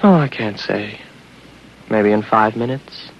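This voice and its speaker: Brief: a lonely, wry spaceman unsure what is real; low, gravelly, musing, dull vintage radio sound. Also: slow, full character voice. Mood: wry, guarded. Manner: musing, talking to himself. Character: weary, wry isolated spaceman doubting his own senses. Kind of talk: 1950s radio drama acting. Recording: dull old radio recording with music.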